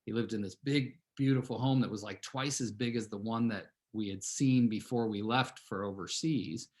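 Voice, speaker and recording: A man's voice: fairly low pitch, steady tone, moderate pace, darkish tone. A man in his sixties who grew up in California, telling a long personal story to his team in a rambling, conversational way with frequent fillers and restarts. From California, USA. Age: 60s. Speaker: real estate team leader.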